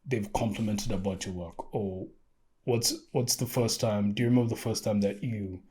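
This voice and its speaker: A man's voice: on the low side, steady tone, normal speed, a little mellow, slightly rough. A man hosting an interview, asking his guest questions in a hesitant, friendly way with many fillers. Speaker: podcast host.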